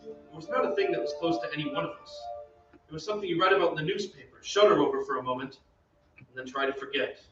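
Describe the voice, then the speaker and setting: A man's voice: a little high, fast, over music, close to an everyday voice. A man acting a suave, courteous opera singer who also narrates an old-time radio drama. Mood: grave storytelling. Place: theatre stage. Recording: echoey stage, distant muffled mic, faint noise.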